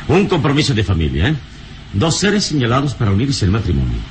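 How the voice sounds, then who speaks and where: An actor playing a serene, gallant adventure hero in an old radio serial, speaking in an unhurried, courtly, philosophical way. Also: male voice, mid-range voice, huge pitch swings, a little mellow, slight background noise, poor audio. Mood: gallant, ironic.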